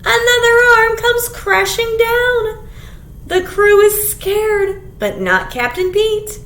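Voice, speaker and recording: Very high-pitched woman reading to children. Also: takes it slowly. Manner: sing-song, expressive, simple questions to children. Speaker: children's storytime librarian. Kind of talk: animated read-aloud to young children. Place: small indoor room. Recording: roomy echo, faint background noise.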